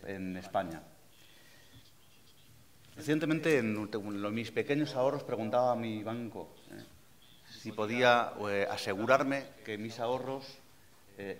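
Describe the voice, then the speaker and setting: Male moderator, lowish voice, formal and measured. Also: fairly bright tone. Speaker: panel moderator. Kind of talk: moderating a seminar.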